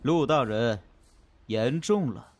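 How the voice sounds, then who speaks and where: A man playing a cold, loyal imperial minister in a Chinese period audio drama, formal, deliberate and coolly menacing. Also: medium pitch, lively intonation, a little hiss or hum. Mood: cold, controlled.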